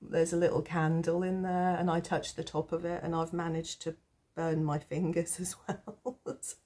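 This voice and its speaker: A woman with a low, mellow voice roughened by a cold and sore throat. She chats casually as a vlog host, taking her time and pausing often. Her manner is reflective, hesitant and gently self-deprecating. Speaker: tarot channel host. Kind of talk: casual rambling chat to camera.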